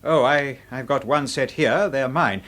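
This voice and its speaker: An actor playing a flustered, polite cinema owner in a classic radio drama, hesitant and courteous. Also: male voice, medium pitch, measured pace, fairly bright tone, natural voice.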